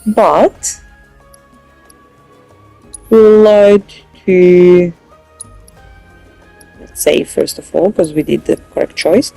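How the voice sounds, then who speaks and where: A gaming host giving casual, low-key let's play commentary, thinking aloud. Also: very slow, gravelly, pauses often, mellow, soft background music.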